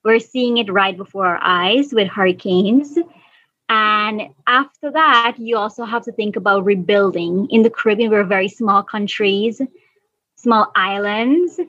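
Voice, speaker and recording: A woman's voice: mid-range voice, a bit bright, dry recording, muffled recording. A woman from Guyana in her thirties, an environmental officer answering interview questions earnestly, thinking aloud as she goes.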